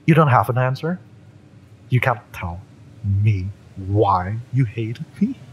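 A man playing a fiery, wounded mercenary in a romance audio roleplay, bitter but lovesick, speaking emotionally and haltingly with sighs and drops into whispers. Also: fairly low pitch, animated, a little hiss or hum, rough recording. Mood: hurt, demanding.

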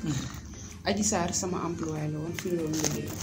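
Animated woman telling a story, echoey room. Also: sits low, quick pace, music in the background. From Senegal. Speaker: salon owner interviewed. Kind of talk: recounting events in an interview.

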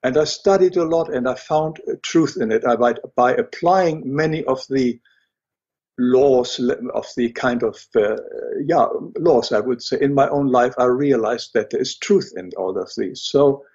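An older man with a German accent talks as a guest, pausing often and restarting his sentences. His voice is husky and a little breathy. The audio sounds dull and lo-fi. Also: medium pitch, a little mellow. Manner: hesitant, many fillers and restarts. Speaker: guest, illustrator and writer. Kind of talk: interview conversation.

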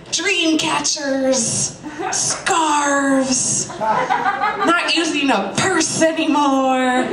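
A young woman telling a comic monologue to a live audience, in a sardonic, emphatic, casual manner. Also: high-pitched. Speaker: comedic storyteller at a live reading. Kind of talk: stand-up style comic monologue. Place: small live venue, bistro. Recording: echoey venue with audience, distant mic. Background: audience crowd.

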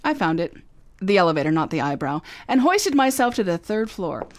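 An actress plays a young lady private eye in a radio drama, telling her case with a dry, wry hardboiled voice. Her pitch sits in the middle. It has a live-performance radio-play feel. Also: big pitch swings, fairly quick, no room sound, close to an everyday voice. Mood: narrating. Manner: dry, wry first-person hardboiled narration. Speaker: narrator and lead detective. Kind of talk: 2009 re-creation of 1950 radio drama. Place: recorded live, San Francisco.

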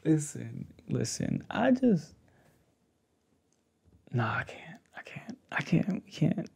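A young man speaking softly and hesitantly close to the mic in a casual, intimate ASMR roleplay. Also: on the high side, very animated. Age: young adult. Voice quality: gravelly, breathy. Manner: hesitant, halting, soft-spoken. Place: indoors, small home setup with fabric backdrop. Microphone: desktop condenser mic with pop filter, close up.

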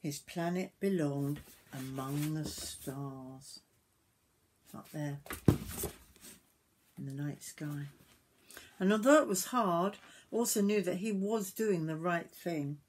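A woman in her sixties reading a picture book aloud to young children like a grandmother, expressively, with drawn-out words and little asides to the listener. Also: deep voice, a little hiss or hum. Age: 60s. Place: small wooden summerhouse.

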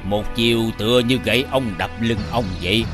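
Fairy-tale animation sage: elderly male teacher of magic, man acting, wise, measured, over music. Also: medium pitch, lightly acted. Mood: solemn teaching. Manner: instructive, measured. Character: wise, solemn old male magic master. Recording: music behind the voice.

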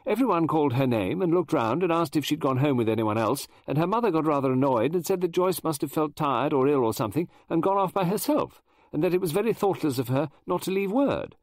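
A man's voice: a little high, animated, fairly quick, dry recording, studio quality, pitched above the performer's own voice. A man reading aloud, voicing a shaken, flustered middle-aged female novelist who talks in a breathless, faltering rush. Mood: distraught.

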